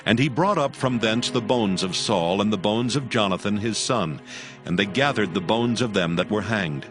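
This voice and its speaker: Low-voiced male scripture narrator over music. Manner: measured, solemn. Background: background music.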